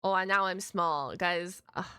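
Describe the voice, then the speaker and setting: A woman's voice: on the low side, a little slow, sharp tone, clear, resonant tone, no room sound, clean studio sound. A woman hosting a reaction video, chatting casually in a playful, slangy, exclamatory way. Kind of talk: excited reaction commentary, casual chat.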